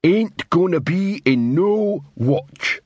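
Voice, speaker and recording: A man voicing a hulking, suspicious troll in an audiobook, sullen and slow-witted, with an ungrammatical growl. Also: high-pitched, lively intonation, a little mellow, breathy edge, no echo, dull audio, pitched above the performer's own voice, full character voice. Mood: sullen, defensive. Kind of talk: audiobook character voice.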